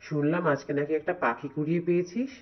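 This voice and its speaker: A man's voice: fairly high pitch, steady tone, fast, close to an everyday voice. A man voicing a weary, pleading poor father. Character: poor, worried village father, humble and pious. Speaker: voice actor. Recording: dull, lo-fi recording.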